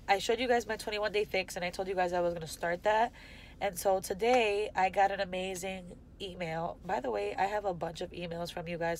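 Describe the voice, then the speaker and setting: Woman in her twenties, stuffy nasal voice, candid rambling vlog, low-pitched for a woman, phone mic in a car. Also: a little hiss or hum. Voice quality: congested, nasal. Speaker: vlogger. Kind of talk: unscripted personal vlog. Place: parked car, indoors. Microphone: phone camera at arm's length.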